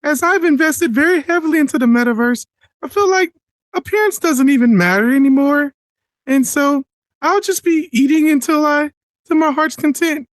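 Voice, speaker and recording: A man calling in to a show, shy and halting, with a soft sweet voice over an online call. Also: extremely high pitch, dry recording, poor audio. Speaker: call-in listener. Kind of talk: comic call-in bit.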